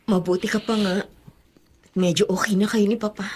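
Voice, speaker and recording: A woman's voice: mid-range voice, fairly even intonation, moderate pace, darkish tone, a little hiss or hum, natural voice. A woman with a Filipino accent acting a troubled, ailing daughter in a radio drama, speaking plaintively. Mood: bitter, resigned. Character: troubled, wounded adult daughter, gravely ill.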